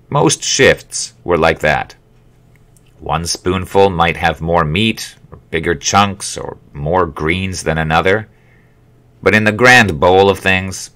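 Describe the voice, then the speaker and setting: A man narrating his own memoir as an audiobook in a measured, wry storytelling manner. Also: deep voice, a bit bright.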